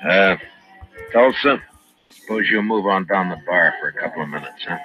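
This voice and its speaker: An actor as deep-voiced, stern frontier marshal. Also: male voice, moderate pace, soft background music, lightly acted. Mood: firm. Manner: terse, authoritative. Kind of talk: old-time radio western acting. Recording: muffled vintage radio recording.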